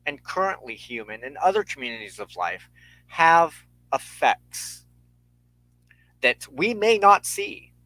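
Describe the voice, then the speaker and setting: A man hosting a spiritual talk in a solemn, sermon-like style, speaking in measured, formal and ceremonious phrases. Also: a little high, big pitch swings, bright, forceful tone, dry recording, poor audio. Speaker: host of a weekly spiritual talk show.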